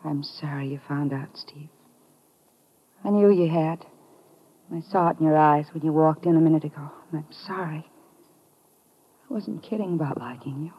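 An actress playing a treacherous, calculating femme fatale in a 1950s radio drama. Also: female voice, low-pitched, moderate pace, long pauses, slight background noise, natural voice. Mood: hushed regret. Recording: dull vintage radio recording.

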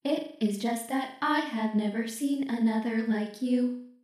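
A man's voice: very high voice, a little slow, darkish tone, reverberant, pitched above the performer's own voice. A man voicing a curious, polite young female automaton who speaks earnestly and formally.

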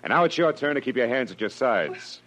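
An actor playing a tough, wry private detective, sardonic and clipped, in 1940s hardboiled radio drama style. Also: male voice, medium pitch, sing-song, brisk, background noise, lightly acted. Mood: tough, accusing. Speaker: lead actor and narrator.

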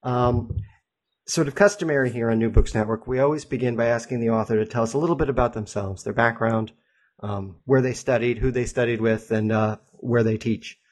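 A man's voice: medium pitch, fairly even intonation, a little fast. Male podcast host, hesitant, lots of ums, plain mid voice. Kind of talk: interview hosting.

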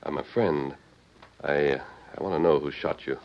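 Casting: commanding, kindly western hero, extremely deep voice, muffled vintage radio recording. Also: male voice. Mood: reassuring. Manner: firm, reassuring, measured. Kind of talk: old-time radio acting. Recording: muffled, faintly noisy old radio recording.

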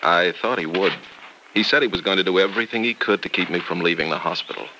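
Stubborn gallant young doctor in love, deep voice, American accent, emphatic, vintage radio serial, noisy broadcast. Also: male voice, quick pace. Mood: resolute, pained. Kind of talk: old-time radio soap opera acting.

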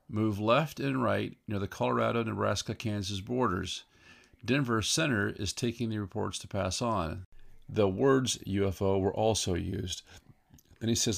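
Low gravelly man reading reports with commentary. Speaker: host commentator. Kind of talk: reading news articles aloud with informal commentary.

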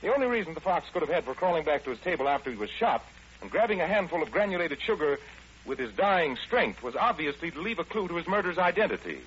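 A man's voice: on the high side, big pitch swings, fast talker, fairly bright tone, husky. An American man with a polished, theatrical, explanatory manner, presenting and acting in 1940s radio style. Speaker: radio show host and detective lead. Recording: muffled, hissy old radio broadcast.

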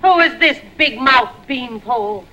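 An actress playing a loud, bossy, scolding battle-axe mother in an old 1940s radio comedy. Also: high voice, lively intonation, unhurried. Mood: indignant scolding. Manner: bossy, scolding, calling out imperiously. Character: loud, domineering battle-axe mother hunting her son. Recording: noisy old radio recording.

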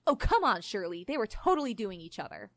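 A woman's voice: medium pitch, sing-song, brisk, no echo. A woman narrator voicing a frank, argumentative and slightly condescending young woman in a story. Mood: teasing, insistent.

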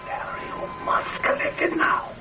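A man playing the urgent ghost of a dead lover in a radio drama, in a breathy, wailing whisper. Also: very noisy, lightly acted. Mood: urgent, commanding. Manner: drawn-out, insistent commands. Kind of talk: classic radio drama acting. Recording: dull lo-fi radio recording, loud music.

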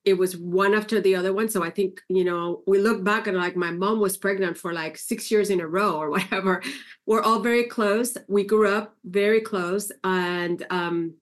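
Middle-aged woman from Puerto Rico, chatty storyteller, conversational podcast guest. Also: mid-range voice. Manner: chatty, warm storytelling with fillers. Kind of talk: casual interview conversation.

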